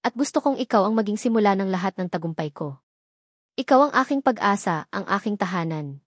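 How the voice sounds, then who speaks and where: A woman's voice: mid-range voice, expressive, fairly quick, no echo, clean studio sound, lightly acted. A woman narrator voicing a devoted, earnest young millionaire in a romance story, making romantic declarations. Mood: proposing, earnest. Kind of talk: audio romance story dialogue.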